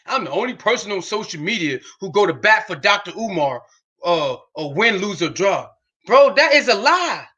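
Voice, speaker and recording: A man hosting a reaction show, commenting on a podcast clip with indignant rhetorical questions and bursts of shouting. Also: high-pitched, big pitch swings, moderate pace.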